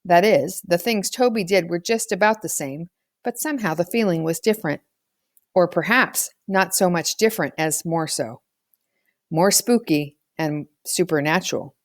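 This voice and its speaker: A woman narrating a children's novel aloud as an expressive storyteller. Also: on the low side, no echo, clean studio sound. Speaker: audiobook reader.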